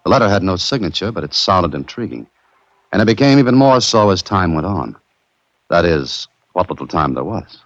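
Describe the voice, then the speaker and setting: An actor playing a breezy, curious adventurer in a 1940s radio drama, with wry, polished delivery. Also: male voice, low voice, expressive, normal speed. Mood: intrigued, narrating. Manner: wry, bantering, polished storytelling. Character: breezy, curious adventurer hero narrating his own case. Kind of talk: 1940s radio drama acting with first-person narration. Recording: dull, noisy vintage radio recording.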